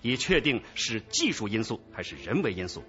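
An actor in a radio drama playing a patient, methodical police squad leader, affable yet authoritative. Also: male voice, fairly low pitch, big pitch swings, moderate pace, fairly bright tone, faint music behind. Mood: businesslike, firm. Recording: dull lo-fi radio drama track.

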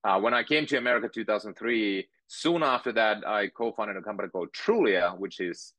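A man, telling his story in a measured, thoughtful way as a podcast guest on a video call. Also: fairly low pitch, bright, forceful tone, dry recording. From Finland. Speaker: guest entrepreneur. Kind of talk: interview conversation. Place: home room with bookshelves.

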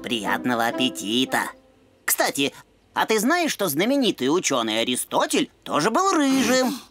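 A woman's voice: low voice, huge pitch swings, moderate pace, over music. A woman voicing a bookish, polite young boy dog in a cartoon, speaking in a pedantic, formal, wordy way. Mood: learned.

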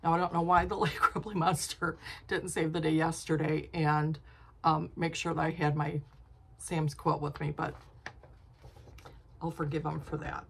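A woman's voice: deep voice, little pitch movement, normal speed, vocal fry, husky. A woman in her sixties chatting casually about her quilting projects, rambling with frequent ums and restarts, filmed on a phone in her home craft studio. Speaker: quilting vlogger. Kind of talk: casual show-and-tell chat. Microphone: phone camera at arm's length.

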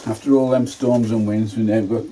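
Homely man in his fifties, low dark voice, chatty allotment vlogger, faint background noise. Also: fairly even intonation, normal speed, poor audio. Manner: chatty, homely, plain-spoken. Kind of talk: casual gardening vlog narration. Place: inside a greenhouse on an allotment.

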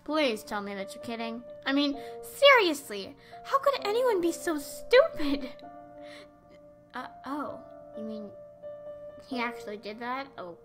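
A girl acting a sassy, high-voiced scornful teen. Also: big pitch swings, a little slow, fairly bright tone, faint music behind, exaggerated voice. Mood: incredulous. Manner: incredulous, emphatic. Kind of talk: dramatic character monologue.

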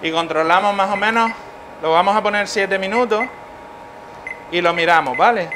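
A man's voice: high-pitched, normal speed, sharp tone. Middle-aged chef guy talking through a recipe, keeps asking "ok?", kitchen clatter behind. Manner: explains steps, checks in with "vale". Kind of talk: cooking demonstration. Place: professional kitchen. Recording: noisy kitchen ambience.

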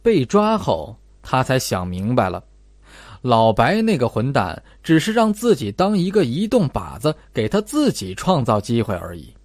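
Expressive male audiobook narrator, lively pitch. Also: moderate pace, no echo. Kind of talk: dramatic scripted narration of a suspense novel.

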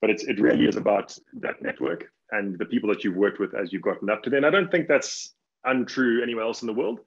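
A man's voice: on the low side, fast, creaky, slightly rough. A man in his thirties from Africa, moderating a panel from a home office over a video call with upbeat follow-up questions. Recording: muffled video call audio.

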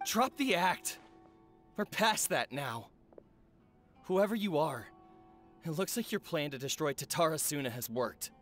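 An actor playing an earnest young male smith in a game cutscene, talking intensely and defiantly with a gravelly edge, over music. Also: a little high, very animated, unhurried, long pauses, fairly bright tone. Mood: angry. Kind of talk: video game voice acting. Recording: game audio with music underneath.

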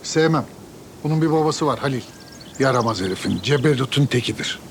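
A man's voice: mid-range voice, expressive, brisk, slight background noise, lightly acted. An actor playing a protective, wary but caring middle-aged father in a naturalistic TV drama. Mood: stern. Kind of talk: TV drama acting, naturalistic dialogue. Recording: prominent music under TV drama dialogue.

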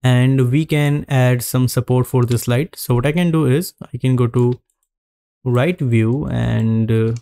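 A man narrating a screen-recorded 3D modeling tutorial, giving calm step-by-step instructions with frequent pauses. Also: mid-range voice, gravelly, smooth, dry recording.

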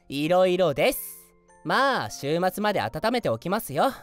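A woman voicing a cheerful, affectionate young male office junior in an anime-style voice drama, polite, playful and expressive. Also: on the low side, unhurried, soft background music. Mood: teasing.

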